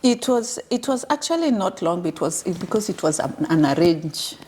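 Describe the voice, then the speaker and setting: A woman guest recounting her own life story in an interview, measured and pausing, with frequent fillers. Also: medium pitch, big pitch swings, a little mellow, a little hiss or hum. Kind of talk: personal life story in conversation.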